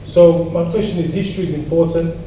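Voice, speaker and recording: A man's voice: a little high, steady tone, a little fast, mellow. A man talking informally in a punchy, wry, challenging way, full of rhetorical questions. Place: large echoey room at a public event. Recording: very echoey hall, distant mic, clear noise.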